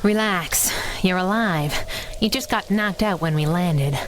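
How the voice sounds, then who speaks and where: A woman playing a plucky, wisecracking young crimefighting sidekick in a pulp radio drama, brisk and wry. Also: lowish voice, a little hiss or hum, lightly acted, low-quality recording. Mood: subdued, wry. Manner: brisk, wry, excitable in danger.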